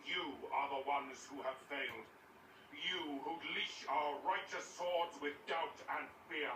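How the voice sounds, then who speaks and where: A distant, echoing recording of a man acting an imperious holy-order commander in a fantasy game. He speaks very slowly, with scorn and zealous self-importance. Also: on the high side, natural voice. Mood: scornful. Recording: echoey distant game capture, loud noise.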